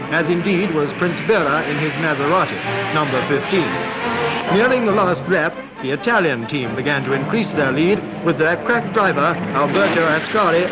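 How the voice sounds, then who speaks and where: A man's voice: on the high side, poor audio. A man reading scripted 1940s sports film commentary in a wry, lightly humorous manner. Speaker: newsreel-style sports narrator. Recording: vintage 1940s film soundtrack, music behind. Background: background music.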